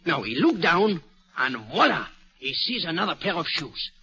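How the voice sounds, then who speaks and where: An actor playing a fastidious, self-assured detective with a French accent, explaining precisely in formal foreign phrasing in an old radio drama. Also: male voice, a little high, sing-song, bright, forceful tone, slightly rough, full character voice. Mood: assured, explanatory. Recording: muffled old radio recording.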